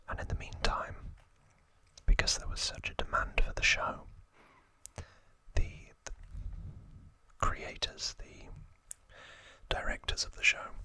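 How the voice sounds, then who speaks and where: A man in his thirties whispering in a breathy voice, telling a story as an ASMR host in short phrases with pauses. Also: pushed and bright, no room sound. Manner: slow, deliberate, short phrases with long pauses.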